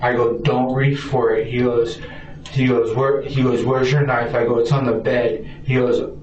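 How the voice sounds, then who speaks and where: A man gives a halting account as a suspect in a police interview. He stammers, repeats words and breaks off, often voicing remembered dialogue. The sound is distant and echoey, with clear noise. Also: mid-range voice, level tone, a little mellow. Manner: stammering, repetitive, fragmented, acting out quoted dialogue. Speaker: suspect being questioned by police. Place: indoor police interview room. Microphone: distant room recording. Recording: echoey room, distant muffled mic, noisy.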